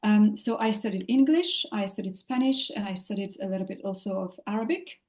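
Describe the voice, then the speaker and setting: Woman guest on a podcast, mellow tone, stops and restarts a lot, lots of ums. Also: mid-range voice. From France. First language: French. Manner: thoughtful, halting, many fillers and restarts. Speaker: podcast guest, leadership coach. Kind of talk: interview conversation.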